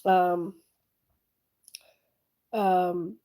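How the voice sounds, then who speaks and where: Art-channel host, woman, slight German accent, mellow tone, rambling and chatty. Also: fairly low pitch, level tone, very slow. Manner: rambling, many fillers and restarts, chuckles. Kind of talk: casual rambling chat while painting.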